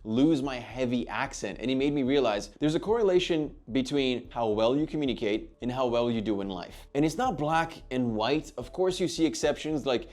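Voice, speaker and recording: A man's voice: mid-range voice, animated, moderate pace, hardly any pauses, a little mellow. Earnest Brazilian-born man, motivational vlog. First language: Portuguese. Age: 30s. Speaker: lifestyle YouTuber. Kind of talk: scripted self-improvement vlog.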